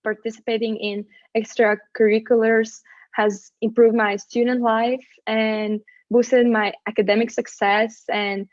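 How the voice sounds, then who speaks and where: A young Latvian woman whose first language is Latvian, answering in a measured, slightly rehearsed way. Her voice sits a touch high. The recording is dry and a bit dull. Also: level tone, no room sound. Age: young adult. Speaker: international student athlete. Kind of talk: interview answers.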